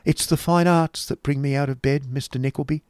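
A fussy, talkative middle-aged woman painter, as a man puts her on, her voice lifted high and fluttering as she chatters away. She gushes and exclaims over every little thing. The sound is close and dry. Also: steady tone, fairly quick, darkish tone, dry recording, lightly acted. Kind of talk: audiobook character voice.

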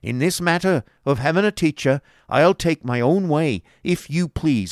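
A man's voice: fairly high pitch, a little mellow, no echo, studio quality, voice pushed up. A man voicing a bullying, self-important schoolmaster husband in an emphatic, blustering storybook manner, drawing out his words.

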